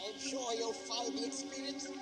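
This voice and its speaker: A man's voice: very high-pitched, fairly even intonation, normal speed. A man playing a haughty, contemptuous and commanding knight in a historical audio drama, with a pompous, imperious manner. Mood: cold contempt. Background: music.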